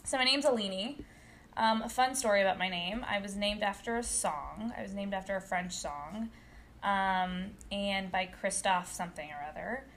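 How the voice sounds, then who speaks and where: A woman in her late twenties, originally from Brazil, co-hosting a casual podcast chat, outspoken and quick to laugh. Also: mid-range voice, a bit bright, slight background noise. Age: late 20s. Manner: chatty, outspoken, laughs a lot. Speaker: podcast co-host.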